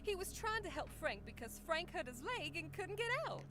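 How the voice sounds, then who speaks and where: A woman playing an inquisitive young townswoman in a game, speaking in an expressive, questioning way. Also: extremely high pitch, brisk. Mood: puzzled, concerned. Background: game music.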